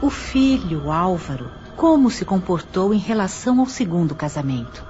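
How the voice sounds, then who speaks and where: A woman acting as a calm analyst in an audio drama, gently probing with short, measured questions. Her voice sits fairly low. Music is clearly heard behind her, and the sound is a little dull. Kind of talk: scripted audio drama dialogue. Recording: slightly muffled, clear music underneath. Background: background music.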